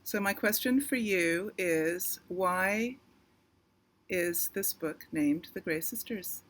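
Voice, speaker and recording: Slow-paced woman presenting book trivia. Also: fairly low pitch. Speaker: author of young adult thrillers.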